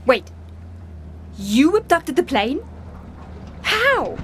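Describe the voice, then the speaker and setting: Blunt female operative for audio drama, high voice, incredulous and demanding, faint ambience behind her. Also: sing-song, extremely slow, slight background noise, heavily acted. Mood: demanding, alarmed. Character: incredulous, questioning female agency operative, stranded abroad.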